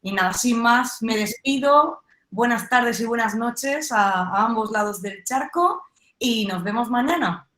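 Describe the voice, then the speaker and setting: A woman's voice: a little high, expressive, measured pace, fairly bright tone. Spanish lady moderating a panel, roomy echo on her mic. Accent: Peninsular Spanish. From Spain. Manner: friendly, formal wrap-up with fillers. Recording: echoey room audio.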